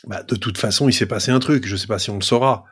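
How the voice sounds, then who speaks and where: A man's voice: lowish voice, fast talker, fairly bright tone, breathy edge, dry recording, studio quality. A man co-hosting a sports podcast, talkative and emphatic as he gives his opinions in casual discussion. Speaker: rugby podcast co-host.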